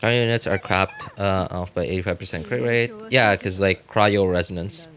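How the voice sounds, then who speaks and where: A man chatting casually to viewers as a game livestreamer, rambling and often repeating himself in a halting way. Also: low-pitched, sharp tone.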